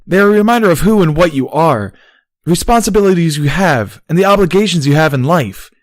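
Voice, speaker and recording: A man's voice: a little high, animated, brisk, no room sound, voice pushed up, natural voice. A man voicing a brash, hearty, loyal young female pony in an audio story, blunt and emphatic. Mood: fierce, insistent.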